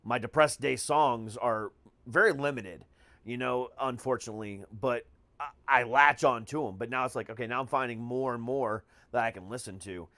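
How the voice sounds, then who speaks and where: A man's voice: medium pitch, moderate pace, sharp tone, no echo. A man hosting a podcast in casual conversation, talking in an emphatic, slangy way with frequent swearing. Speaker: podcast host. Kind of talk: casual chat.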